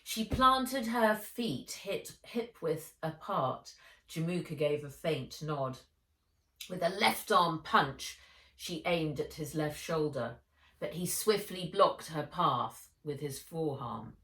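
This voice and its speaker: A woman's voice: sits low, expressive, slow, pushed and bright. A woman narrating a children's adventure novel as an audiobook, expressively and with dramatic emphasis. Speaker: author reading own book aloud. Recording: echoey room.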